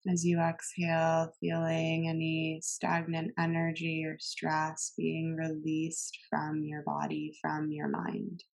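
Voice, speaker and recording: A woman instructor leading a guided meditation in a calm, gentle manner, giving soothing cues with long pauses. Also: deep voice, vocal fry, rough recording.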